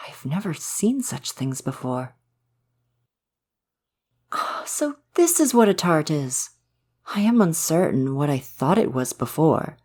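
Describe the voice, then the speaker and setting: A man acts out a male forest spirit in a soft romantic ASMR audio drama. He is gentle and curious about humans. His phrasing is formal, polite and old-fashioned, like that of a courtly woodland being. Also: fairly high pitch, very animated, slow pace, long pauses, heavily acted. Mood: fascinated, curious.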